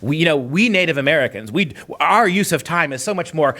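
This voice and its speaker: Male talk host, somewhat high voice, sarcastic and emphatic commentary. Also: lively intonation, a little fast, bright, forceful tone. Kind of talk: opinionated commentary.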